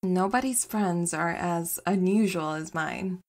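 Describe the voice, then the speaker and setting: A woman playing a warm, wry, loyal partner in a naturalistic comedy audio drama, teasing with a deadpan touch. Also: on the low side, slow pace. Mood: wry fondness.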